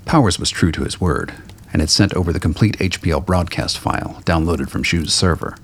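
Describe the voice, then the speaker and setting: A man reading scripted dramatic fiction as a narrator, with a gravelly, husky voice. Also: low voice, brisk.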